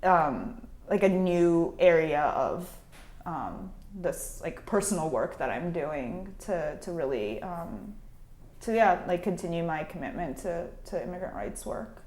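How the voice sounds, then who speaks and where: A woman's voice: lowish voice, expressive, measured pace, a little mellow. A young woman from Japan telling her life story in an oral history interview, hesitant and full of fillers and restarts. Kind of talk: reflective personal storytelling. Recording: echoey room.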